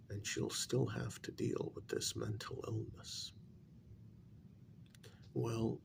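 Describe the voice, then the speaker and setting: Very slow, gravelly soft-spoken man. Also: mid-range voice, a little hiss or hum. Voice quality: breathy half-whisper, gravelly. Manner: deliberate, measured, halting phrases. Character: calm, grave male psychologist and spiritualist counselling a parent. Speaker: ASMR role-play performer. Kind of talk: soft-spoken ASMR role-play, acted consultation.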